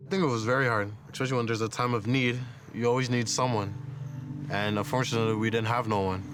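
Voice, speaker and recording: A man's voice: medium pitch, fairly even intonation, quick pace, over music. A man around twenty, reflecting on his life in an interview. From Canada. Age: 20s. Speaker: interviewee, soccer player.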